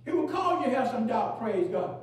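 Male preacher with a very high voice, call-and-response sermon, swings from shouts to murmurs, heavy echo, mic far off. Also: very animated. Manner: fills pauses with "praise God" and "hallelujah"; repeats phrases, rising to shouts and dropping low. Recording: very echoey, from far off.

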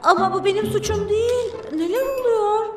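A woman voicing an excitable young bus in a children's cartoon dub, childlike and squeaky, with expressive exclamations and a whiny streak. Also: moderate pace. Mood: indignant. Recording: music behind the voice.

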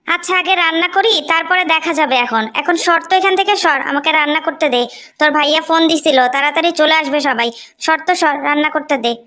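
Woman acting a high-pitched, nagging sister-in-law. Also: fast, pushed and bright. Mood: impatient, scolding. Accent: Bangladeshi. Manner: scolding, nagging. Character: impatient, resentful sister-in-law running the household kitchen. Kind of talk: animated moral story dialogue.

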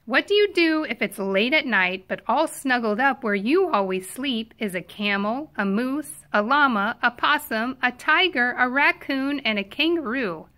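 Female picture book reader: high-leaning, bright and forward voice, expressive, animated storytime delivery. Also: a little slow, few pauses. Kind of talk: expressive read-aloud of a children's storybook.

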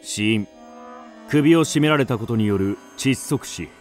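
An actor playing a businesslike police detective in a radio drama, terse and authoritative, with clipped, deliberate delivery. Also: male voice, mid-range voice, faint music behind, natural voice. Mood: stern, clinical.

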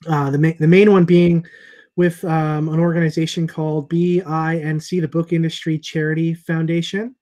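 A man's voice: on the high side, darkish tone. A man in his thirties who owns a comic shop, hosting a casual chat over a video call from a room at home with an earphone mic. He rambles a little, with lots of fillers and restarts. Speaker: comic shop owner and host. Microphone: earphone headset on a video call.

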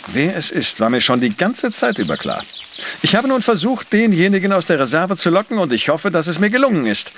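Casting: arrogant genius sleuth, highish voice, lively melody, pompous and exact, radio-play style. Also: male voice, brisk, a little hiss or hum, heavily acted. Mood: confident, secretive. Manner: pompous, precise, lecturing. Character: arrogant brilliant male professor detective. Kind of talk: German audio drama acting.

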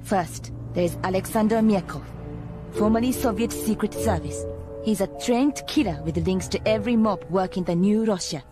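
Young woman agent played by an actress, wry and measured, mid-pitched, game briefing over music. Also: lightly acted. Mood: cool, wry. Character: cool, wry female secret agent giving a briefing. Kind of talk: video game cutscene acting.

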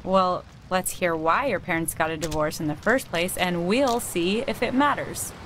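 A woman's voice: on the low side, moderate pace, slight background noise, close to an everyday voice, rough recording. A young woman playing a sarcastic, combative teenage girl in a naturalistic video game, dry and goading. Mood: needling. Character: snide, combative teenage girl, cool and needling.

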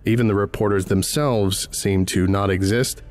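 Low-voiced man narrating formally. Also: steady tone, a little mellow. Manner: formal, clinical, measured delivery. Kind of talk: scripted documentary-style narration.

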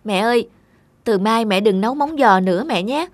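Actress as anxious young cartoon mum, plaintive and scolding, clear music underneath. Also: female voice, on the high side, lively intonation, normal speed, no echo, lightly acted. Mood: gently chiding. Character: anxious young new mother, exasperated with her old-fashioned mother. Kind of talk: cartoon dialogue.

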